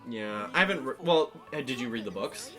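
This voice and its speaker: A man's voice: mid-range voice, animated, brisk, fairly bright tone, soft background music, poor audio. A young man co-hosting a gaming show with casual, wry commentary.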